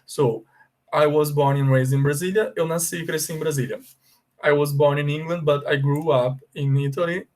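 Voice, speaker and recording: A man with a Brazilian Portuguese accent, an English teacher explaining a lesson slowly and checking in often with his students. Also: a little high, steady tone, a little mellow. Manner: slow, deliberate explaining with frequent check-ins. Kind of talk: bilingual language lesson.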